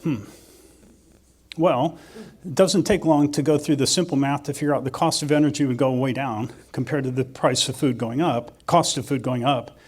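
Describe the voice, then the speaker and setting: Man, mid-pitched voice, conference presenter, conversational, dry self-mocking humor, thinks out loud. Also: moderate pace, slightly rough. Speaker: agricultural economist presenting.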